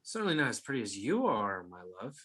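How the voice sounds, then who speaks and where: A man's voice: medium pitch, wildly swooping pitch, fast, a bit bright. A man playing a placating husband with a wry, slyly flirtatious manner in a screenplay table read. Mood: wary, placating.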